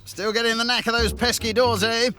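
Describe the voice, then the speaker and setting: A man's voice: very high-pitched, little pitch movement, a little fast. A British man giving sardonic voice-over commentary, dry and teasing. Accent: British English. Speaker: show narrator. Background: music.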